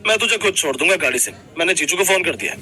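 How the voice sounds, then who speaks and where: A man's voice: a little high, animated, rapid-fire, sharp tone, faint music behind. A man voicing an earnest, fretful young brother and husband in a cartoon family story. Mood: friendly.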